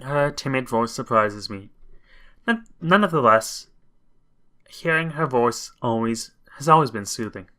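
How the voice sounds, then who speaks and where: A man reading a visual novel aloud with casual commentary, halting and breaking off for wry asides. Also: medium pitch, expressive, sharp tone. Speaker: video game commentator.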